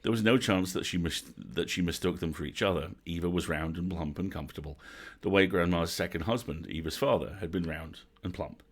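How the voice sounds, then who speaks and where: A man narrating a bedtime story from a script as an expressive storyteller. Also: low voice, brisk, hardly any pauses, breathy edge. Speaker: storyteller, host.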